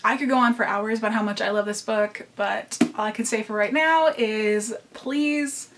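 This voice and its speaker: A woman's voice: on the high side, moderate pace, noisy background. A young woman with a nasal Midwestern American accent, a book vlogger giving enthusiastic recommendations and often repeating words for emphasis.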